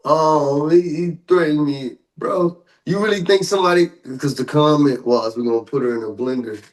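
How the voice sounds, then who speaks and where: Male podcast co-host, fairly high-pitched, loose slangy delivery, casual banter with exaggerated asides. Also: normal speed, low-quality recording. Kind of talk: casual banter about hip-hop and pop culture news.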